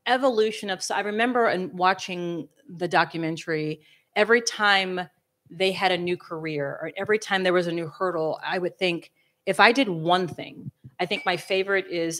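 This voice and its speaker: A woman's voice: on the low side, fairly bright tone. A woman moderating a panel in a conversational way, correcting herself as she goes, into a handheld mic on a small stage. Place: small stage before a live audience.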